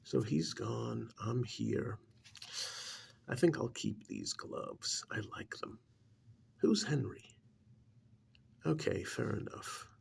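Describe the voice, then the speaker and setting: A man voicing an ancient, contemptuous creature in a stolen human body, in a menacing, breathy, gravelly ASMR roleplay monologue. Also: mid-range voice, lively intonation, slow pace, long pauses, darkish tone, full character voice. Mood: cold, commanding. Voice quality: gravelly, husky, breathy half-whisper. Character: ancient disdainful male creature possessing a dead man's body.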